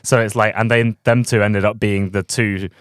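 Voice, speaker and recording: A man's voice: fairly low pitch, flat delivery, moderate pace, no echo, clean studio sound. A young man hosting a casual football fan podcast, chatty and full of fillers and restarts. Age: young adult. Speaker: podcast host.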